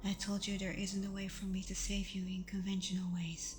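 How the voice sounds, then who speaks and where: A woman playing a protective, anguished vampire girlfriend in an ASMR roleplay, speaking in a soft, breathy half-whisper with earnest pauses. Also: medium pitch, completely flat delivery, slight background noise, lightly acted. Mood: solemn, reluctant. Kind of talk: ASMR roleplay, close intimate acting.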